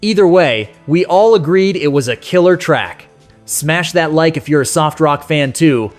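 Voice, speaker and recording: A man with a slightly high voice hosts a nostalgic countdown. His scripted narration is conversational and sentimental, addressed straight to the listener. Music plays faintly behind him. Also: expressive, unhurried.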